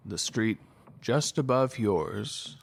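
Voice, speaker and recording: A man acting a brooding, guarded doctor in an audio drama, with a dry, terse, deadpan delivery. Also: on the low side, slow pace, vocal fry, exaggerated voice. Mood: dry, cutting. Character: brooding male doctor, guarded, dryly cutting.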